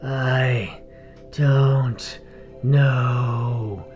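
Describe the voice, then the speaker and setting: A dead gnoll, dragged back to speak in a fantasy tale. The words come out breathy, very slow and laboured, drained and reluctant, with music behind them. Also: male voice, medium pitch, steady tone, darkish tone, muffled recording. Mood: strained, lifeless.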